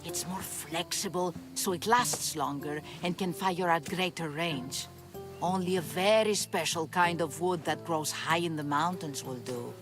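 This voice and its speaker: A woman playing a brusque, demanding craftswoman in a video game, speaking gruffly with a Greek accent. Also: fairly low pitch, measured pace, lightly acted. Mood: impatient. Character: brusque, impatient, foul-mouthed woman bowmaker. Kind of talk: scripted video game dialogue. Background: background music.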